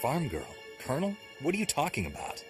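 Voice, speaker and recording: An actor playing an earnest, stoic Jedi Knight hero in a video game cutscene, speaking in a serious, measured way. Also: male voice, on the high side, huge pitch swings, soft background music. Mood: questioning.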